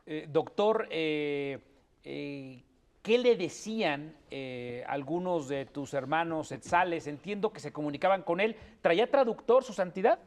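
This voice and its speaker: Hesitant host with a slightly high voice. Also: male voice, unhurried. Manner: hesitant, lots of "eh" fillers while framing questions. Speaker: radio show host. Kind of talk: hosting a panel and phone interview.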